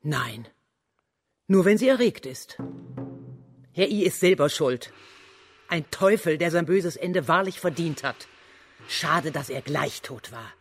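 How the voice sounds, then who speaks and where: A woman's voice: deep voice, expressive, slow pace, pauses often, soft background music. An actress playing a blunt, bitter lady's maid in a German radio drama, plain-spoken in her delivery. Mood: guarded, matter-of-fact. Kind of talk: German radio play acting.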